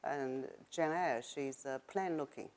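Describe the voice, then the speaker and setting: A middle-aged woman with a Chinese accent and a remarkably low voice speaks in a casual interview. Her English is a second language, and she pauses often with fillers as she thinks aloud. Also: darkish tone. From China. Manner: thoughtful, halting, with frequent fillers and laughs. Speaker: author interviewee.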